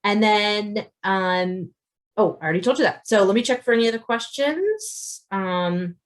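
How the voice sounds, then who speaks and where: A woman's voice: mid-range voice, animated, measured pace. A woman chatting casually and effusively to viewers as an author hosting an unscripted question-and-answer livestream. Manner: effusive, often chuckles mid-sentence.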